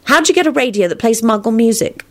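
A woman acts out a sweet, excitable schoolgirl in a story. The voice is pitched well up and swings freely with impulsive feeling. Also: fast. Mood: earnest, curious.

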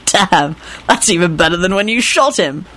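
A woman's voice: lowish voice, expressive, normal speed, fairly bright tone. A woman voicing an insolent male werewolf wizard who drawls his taunts with cool amusement. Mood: cheerfully insolent.

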